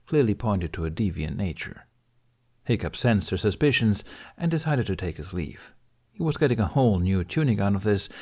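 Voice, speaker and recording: A man narrates an audiobook story from a script. His voice sits on the low side as he reads the prose. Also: darkish tone.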